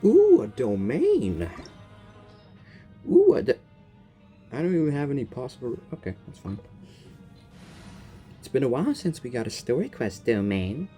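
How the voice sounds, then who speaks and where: A man giving casual, informal reaction commentary while playing a game, expressive and full of muttered asides. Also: mid-range voice, measured pace, pauses often. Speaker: gaming content creator. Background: faint game music.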